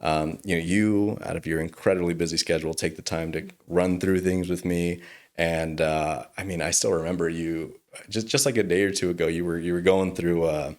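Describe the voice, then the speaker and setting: Low-voiced young man, earnest and reflective. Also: steady tone, moderate pace. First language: English. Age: young adult. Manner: reflective, earnest, laughs easily. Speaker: attorney guest. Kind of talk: casual interview conversation.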